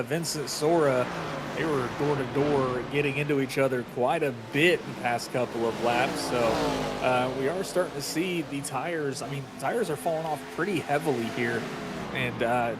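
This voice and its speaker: A man's voice: on the high side, normal speed. A man giving conversational motorsport analysis as the co-commentator on a race broadcast. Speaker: racing co-commentator. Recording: loud engine and vehicle noise.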